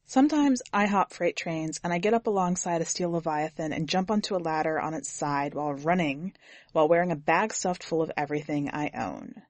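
A woman reading scripted first-person fiction as a narrator, with measured, grave, deliberate phrasing. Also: deep voice, dry recording. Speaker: podcast editor and story narrator.

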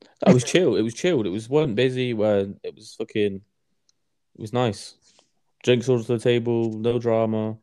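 A young man co-hosting a podcast, speaking calmly and teasing the others in a dry way. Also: medium pitch, normal speed. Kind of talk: casual banter.

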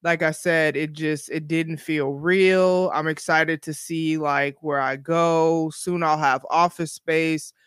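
Chatty woman in her forties, smooth voice. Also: low voice, fairly even intonation, slow pace, few pauses, fairly bright tone, no echo, studio quality. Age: 40s. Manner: chatty, rambling, encouraging. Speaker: podcast host. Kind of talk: casual solo podcast chat.

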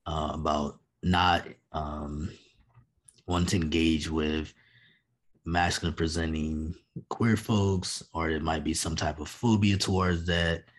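A man's voice: rumbling low, fairly even intonation, slow. A man hosting a podcast, asking careful, tentative questions. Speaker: podcast host. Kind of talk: interview questions.

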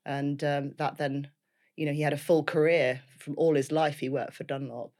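A woman in her fifties with a clear Liverpool accent, talking through her life story as an interview guest. She is chatty and laughs often, with plenty of ums and restarts as she goes. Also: low-pitched, level tone, moderate pace, a little mellow. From Liverpool, England.

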